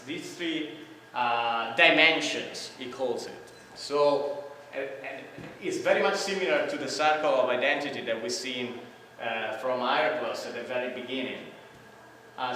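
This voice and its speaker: A man's voice: mid-range voice, sharp tone, slight background noise. Italian-accented man lecturing in an echoey hall. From Italy. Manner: explanatory, with frequent "uh" and "right?" check-ins. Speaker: conference presenter. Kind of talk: academic conference talk. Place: large conference hall. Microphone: room microphone heard from far off. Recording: echoey hall recorded from far off.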